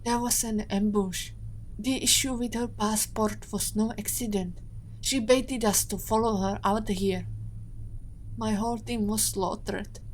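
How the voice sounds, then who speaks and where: A woman playing a composed, guarded captive agent in an audio drama, with measured and formal phrasing. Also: medium pitch, fairly even intonation, a little hiss or hum, lightly acted, poor audio. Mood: grave, explaining.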